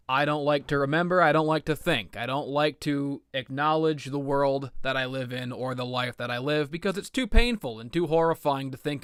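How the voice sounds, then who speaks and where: A man's voice: medium pitch, a bit bright, clean tone, dry recording, clean studio sound. A man giving rambling comedic video game commentary, with a self-deprecating, sarcastic and melodramatic manner.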